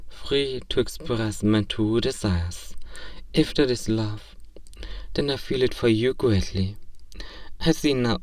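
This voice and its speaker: A man's voice: mid-range voice, a little mellow, no room sound, recorded from a distance, close to an everyday voice. Naive, inquisitive robot voiced by a man for audio role-play; stilted politeness, literal delivery, mid-range voice, dry sound. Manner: formal, literal, clinical wording, stiltedly polite. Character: earnest, curious, naive robot learning human love. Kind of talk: ASMR role-play comedy audio drama.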